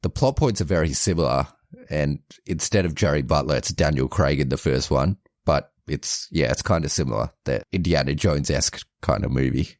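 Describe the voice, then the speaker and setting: Very deep-voiced man chatting casually. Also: a little fast, dry recording, clean studio sound. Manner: informal, rambling, frequent "like". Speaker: podcast co-host. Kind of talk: casual movie review chat.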